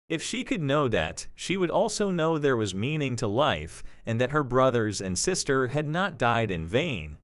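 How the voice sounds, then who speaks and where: A man narrating a scripted story for an audio drama in a measured, expressive storytelling manner. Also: mid-range voice, dry recording, studio quality.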